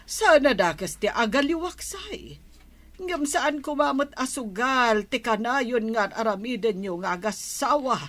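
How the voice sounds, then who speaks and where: A woman's voice: fairly high pitch, animated, unhurried. A Filipino woman playing a fed-up older village mother who nags and admonishes, in a radio drama. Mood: scolding. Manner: nagging, admonishing. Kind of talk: Ilocano radio drama acting.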